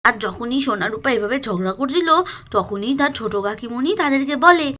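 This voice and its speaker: A woman's voice: on the high side, fairly quick, fairly bright tone. A woman narrating a doll cartoon story. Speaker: narrator and voice of the characters.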